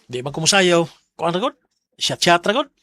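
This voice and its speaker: A man's voice: sits high, lively intonation, moderate pace, bright, forceful tone, no echo. A man with a Visayan Filipino accent playing a young, laid-back older brother who teases and banters in a radio drama. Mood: bantering. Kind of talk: Bisaya radio drama acting.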